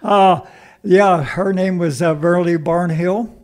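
A man's voice: high voice, takes it slowly, darkish tone. A man in his eighties from Pennsylvania with a Pennsylvania Dutch accent, casually reminiscing as a radio interview guest in a folksy way. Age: 80s. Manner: folksy, chuckles often.

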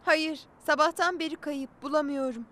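A woman's voice: high-pitched, steady tone, moderate pace, pushed and bright. A woman voicing a caring, concerned young character in a children's cartoon dub. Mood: worried.

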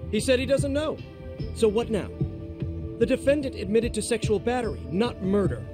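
A man's voice: extremely high pitch, lively intonation, moderate pace, music in the background. An actor playing a skeptical, combative prosecutor in his thirties in a courtroom drama game, brusque and challenging. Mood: challenging, scornful.

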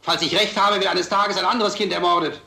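An actor playing an earnest, stubborn police detective, speaking formally and with measured insistence in an old crime film. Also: male voice, sits high, steady tone, vocal fry, bright, forceful tone, exaggerated voice. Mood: earnest, insistent. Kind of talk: vintage German crime film acting. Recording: roomy old film soundtrack.

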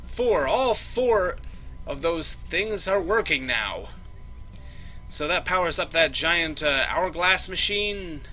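A man's voice: a little high, sharp tone. A young man casually commenting on a game he plays, goofy and jokey, chatting to the characters on screen. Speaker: let's play host. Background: game music.

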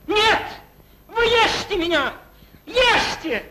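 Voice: very high voice, expressive, takes it slowly, noisy background, full character voice. Актёр озвучивает оборванного влюблённого зайца. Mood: pleading. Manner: melodramatic, pleading. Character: poor ragged young male hare, lovesick. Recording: old 1940s film soundtrack with music.

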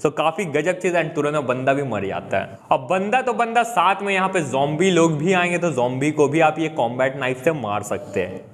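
Young indian guy hyping up a game update, chatty, kinda high voice. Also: expressive, normal speed. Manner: upbeat, chatty, mixes English words into Hindi. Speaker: gaming YouTuber. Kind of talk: excited gameplay update commentary.

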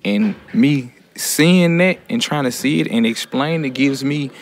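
A man's voice: mid-range voice, measured pace, slight background noise. A man in a prison writing class speaking earnestly and reflectively, in talk and recited verse. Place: room inside a prison. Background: soft guitar music.

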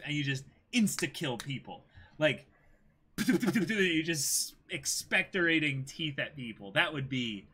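A man's voice: medium pitch, bright, forceful tone, breathy edge. A man in his twenties chatting casually and animatedly as a co-host on an anime discussion stream, often laughing. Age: 20s. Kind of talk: casual chat.

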